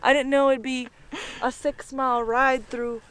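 A woman's voice: high-pitched, little pitch movement, measured pace, fairly bright tone, clean tone. A young woman chatting outdoors on a snowy trail, grumbling with dry humor. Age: young adult. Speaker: fellow motorcyclist. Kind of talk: casual chat.

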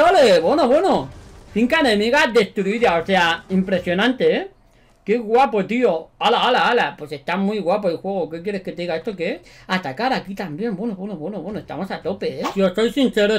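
A young man in his twenties with a Spanish accent from Spain, giving excited, slangy gameplay commentary in a bedroom studio. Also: sits high, slight background noise. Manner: animated, slangy, repeats himself. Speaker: gaming YouTuber.

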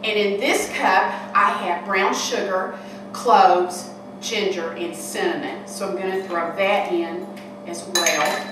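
A woman's voice: medium pitch, steady tone, slow. An older woman in her sixties hosting a cooking show, chatting homespun tips while she works in a large kitchen hall. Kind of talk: casual cooking demonstration. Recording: echoey room from far off, noisy.

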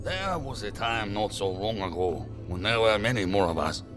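A man's voice: lowish voice, lively intonation, normal speed, over music, natural voice. A man playing a solemn leader of a secretive group in a science fiction audio drama, speaking like an orator.

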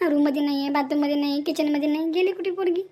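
A woman's voice: sits high, fairly even intonation, racing, dark tone, natural voice. A woman voicing an overworked, grumbling village mother in a cartoon, with a folksy Vidarbha Marathi accent. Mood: worried. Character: overworked, fretful village mother of a grown daughter. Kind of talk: amateur cartoon dialogue.

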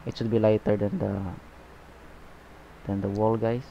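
A man giving a painting tutorial as he works, talking in a relaxed, unhurried way and often saying "okay" and "guys". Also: fairly low pitch, creaky, a little hiss or hum. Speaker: painting instructor. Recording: slightly dull, lo-fi recording.

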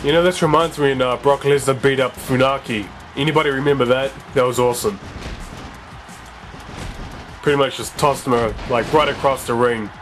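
A man's voice: mid-range voice, moderate pace, faint music behind. A young man with a slightly sore voice commenting casually on a wrestling video game and reading its dialogue aloud. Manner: chatty, excitable, reads game dialogue aloud. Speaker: let's play gamer.

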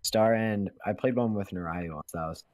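A young man joining a game over voice chat, relaxed and chatty, getting over the flu. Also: fairly low pitch, moderate pace, dry recording. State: recovering from flu. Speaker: co-player. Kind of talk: casual chat over voice call.

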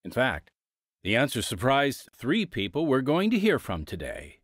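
A man announcing and hosting a scripted radio drama programme in a dramatic, measured manner. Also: medium pitch, huge pitch swings, a bit bright, no room sound, clean studio sound. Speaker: announcer and host. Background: music.

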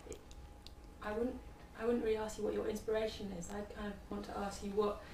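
Woman interviewer, mid-pitched, hesitant and rambling, heard off-mic in an echoey room. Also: little pitch movement, quick pace, gravelly, warm tone, recorded from a distance, a little hiss or hum. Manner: hesitant, many fillers and restarts. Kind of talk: interview questions. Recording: roomy, off-mic with faint ambience.

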